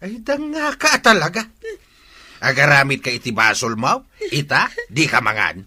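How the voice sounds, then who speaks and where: A man's voice: medium pitch, big pitch swings, normal speed, pushed and bright, breathy edge, exaggerated voice. An actor playing a stern, hot-tempered middle-aged Filipino father in a radio drama, scolding in exclamations. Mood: angry scolding.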